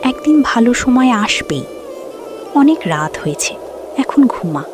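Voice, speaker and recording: Woman playing a gentle elder sister, lively pitch. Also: measured pace, over music. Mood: consoling. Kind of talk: Bengali fairy tale cartoon dubbing.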